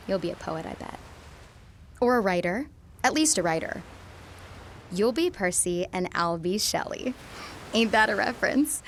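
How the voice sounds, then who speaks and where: Radio drama: actress as smitten schoolgirl, mid-pitched, playful and confiding, ambient noise underneath. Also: close to an everyday voice. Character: smitten, teasing, romantic teenage girl.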